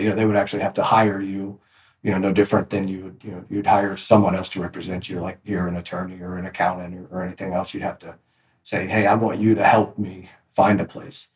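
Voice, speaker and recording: A man's voice: deep voice, flat delivery, fairly quick, darkish tone, roomy sound. A man guest on a podcast, telling stories about his work in a casual, rambling way with many restarts. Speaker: podcast guest, real estate agent. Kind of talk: casual interview storytelling.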